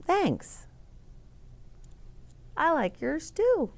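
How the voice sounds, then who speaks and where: A woman's voice: sits high, huge pitch swings, extremely slow, vocal fry, pitched above the performer's own voice, full character voice. A woman voicing an anxious, sweet young girl in a children's storybook, speaking tentatively. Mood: shy, relieved. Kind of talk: children's storybook read-aloud.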